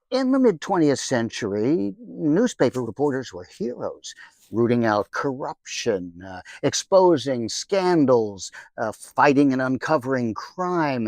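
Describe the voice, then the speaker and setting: A man's voice: mid-range voice, a little mellow, no echo. An American man hosting, delivering a theatrical yet conversational scripted introduction. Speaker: host. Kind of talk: podcast introduction, semi-scripted narration.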